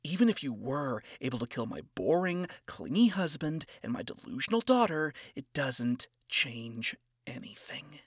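A man voicing a cold, self-absorbed seductive woman who speaks in a hushed, condescending way. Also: fairly high pitch, big pitch swings, no room sound, studio quality. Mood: cold scorn. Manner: hushed, breathy, condescending, slow. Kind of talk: reading fan fiction aloud.

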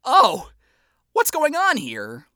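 A flamboyant showman king of hell dotes and fusses in loud, high bursts, as a narrator puts him on. The sound is dry and close, like a storybook reading. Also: wildly swooping pitch, slow pace, no room sound, exaggerated voice. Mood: theatrical. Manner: theatrical, fussing.

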